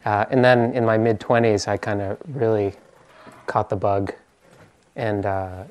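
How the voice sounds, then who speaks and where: An American man from the East Coast giving an informal meditation talk as a teacher, hesitant and often pausing to search for words. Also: lowish voice, steady tone, a little mellow, a little hiss or hum.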